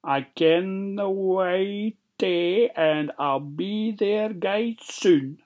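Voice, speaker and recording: A wee Scottish girl from a fairy tale, acted out by a storyteller. She is innocent, polite and chatty, speaking slowly in a slightly raised voice. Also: medium pitch, a little mellow, highs cut off, voice pushed up. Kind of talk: storybook character voice.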